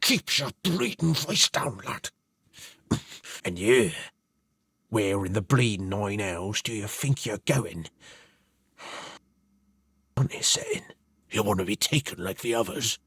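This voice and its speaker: A man voicing a gruff, threatening fantasy guard in ASMR roleplay, hushed, with a British glottal-T accent. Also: on the low side, animated, takes it slowly, long pauses, no echo, clean studio sound, heavily acted. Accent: British, glottal T's. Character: gruff, threatening fantasy guard, cursing under his breath.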